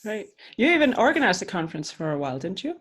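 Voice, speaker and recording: A woman from Switzerland in her thirties co-hosts a relaxed podcast conversation. She talks in a chatty, storytelling way, often restarting her sentences as she goes. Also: medium pitch, fast talker, slightly rough. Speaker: podcast co-host, coach. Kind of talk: casual chat.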